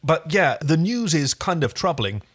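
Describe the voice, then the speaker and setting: Guy podcast cohost, kinda high voice, super lively, excited casual tv recap chat. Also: a little slow. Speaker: podcast co-host.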